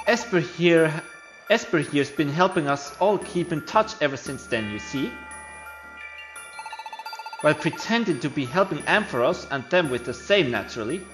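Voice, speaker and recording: A man's voice: on the high side, expressive, moderate pace, fairly bright tone. A man voicing a smug, taunting villain creature with a folksy drawl in a game story, with music behind him. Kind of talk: voiced video game story playthrough.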